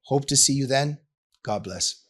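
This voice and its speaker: A man hosting a talk show, speaking emphatically in deliberate, drawn-out phrases. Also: medium pitch. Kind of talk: scripted intro and interview talk.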